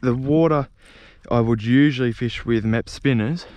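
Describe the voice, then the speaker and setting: A young man with an Australian accent narrating a casual fishing vlog in a laid-back, halting way. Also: medium pitch, darkish tone, muffled recording, a little hiss or hum. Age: young adult. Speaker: fishing vlogger. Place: outdoors on a grassy riverbank. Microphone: body-worn or handheld action camera.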